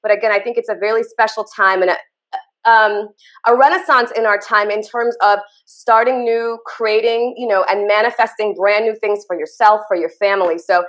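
A woman in her thirties from Trinidad, hosting an interview over a video call from a home office with lively, chatty enthusiasm. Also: medium pitch, moderate pace. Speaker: interview host.

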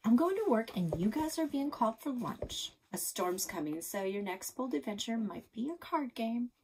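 A woman's voice: on the high side, big pitch swings, moderate pace, a little mellow, a little hiss or hum, voice pushed up, rough recording. A woman voicing a bossy teenage older sister with a scolding, exasperated manner.

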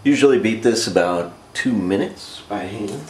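A man in his thirties with a North American accent, giving a casual step-by-step cooking demonstration in a plain, halting way. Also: fairly low pitch. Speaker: amateur cooking video host. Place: home kitchen, indoors. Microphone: camera microphone, a short distance away. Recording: roomy camcorder sound with clear noise.

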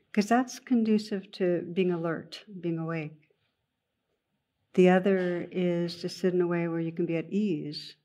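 A woman guides a meditation in a low, mellow voice. Her delivery is very slow and gentle, with long pauses between phrases. The melody stays flat and even, like a teacher leading a calm practice.

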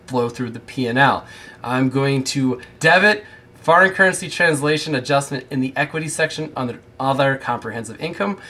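A man's voice: medium pitch, bright, forceful tone. An American man, around his thirties, explaining like an accounting instructor in a conversational, informal tutorial style with self-deprecating asides. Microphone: close microphone on a boom arm.

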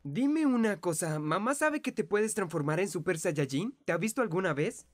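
A woman's voice: fairly low pitch, lively intonation, moderate pace, natural voice. A woman voicing a kind, earnest teenage boy fighter in an anime fan dub, explaining things like a patient older brother. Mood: curious, patient.

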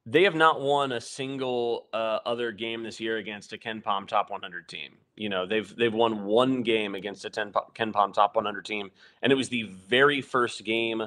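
A man's voice: on the low side, fairly even intonation, moderate pace, hardly any pauses, fairly bright tone. Chatty young man breaking down basketball. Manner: rambling, analytical, frequent fillers and restarts, laughs often. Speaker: podcast co-host. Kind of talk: casual postgame sports chat.

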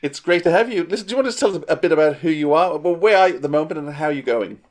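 Male podcast interviewer, middle-aged, friendly, brisk pace, slightly higher pitch. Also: expressive, a little mellow.

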